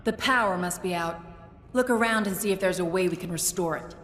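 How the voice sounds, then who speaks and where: A woman's voice: fairly low pitch, a little fast, natural voice. Woman playing a terse, stern space warrior. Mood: impatient. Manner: terse, businesslike, dry. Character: no-nonsense female space warrior, stern and dryly sardonic. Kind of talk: animated video game acting. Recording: loud game music underneath.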